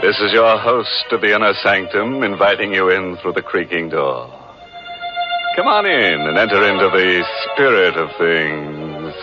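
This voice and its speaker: Actor as deep, gleefully ghoulish horror host. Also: male voice, animated. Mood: macabre glee. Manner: mock-sinister, theatrical, drawn-out. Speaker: host and announcer of a mystery show. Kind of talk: 1940s radio horror hosting. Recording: muffled old radio broadcast, music behind.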